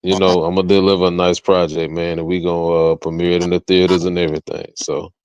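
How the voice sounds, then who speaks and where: A very low-pitched American man talks casually as an interview guest. His speech is slangy, full of "you know" and "man". The recording is dull and lo-fi. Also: flat delivery, fast talker, a little mellow, clear, resonant tone. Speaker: interview guest, music artist and entrepreneur. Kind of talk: casual chat. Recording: dull lo-fi audio, roomy echo.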